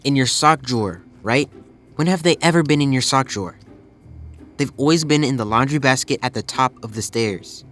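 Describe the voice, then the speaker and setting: A man's voice: mid-range voice, normal speed, a bit bright, faint music behind, lightly acted. Teenage stepbrother male performer: mid-range voice, pressing his point, mildly annoyed, family drama dialogue. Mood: insistent. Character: earnest, nagging teenage boy, annoyed stepbrother.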